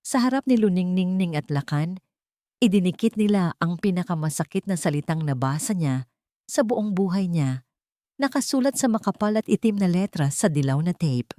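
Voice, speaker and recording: A woman's voice: lowish voice, dark tone, dry recording, studio quality. A woman narrator reading a sentimental story as dramatic scripted narration.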